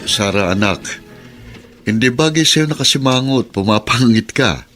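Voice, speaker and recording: A man's voice: medium pitch, animated, normal speed, a bit bright, faint music behind, lightly acted. An actor playing a proud, wounded husband and father in a Filipino radio drama, emotional and melodramatic, with a Filipino accent. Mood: gentle, reproving. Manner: heavy, emotional, melodramatic delivery. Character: embittered lame husband and father, wounded pride.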